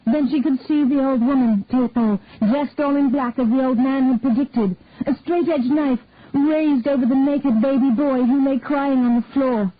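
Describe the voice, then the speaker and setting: Female story reader with a high voice, measured narration, on a tinny, phone-like recording. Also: no echo. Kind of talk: scripted narration of a detective story. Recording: dry, tinny phone-like audio.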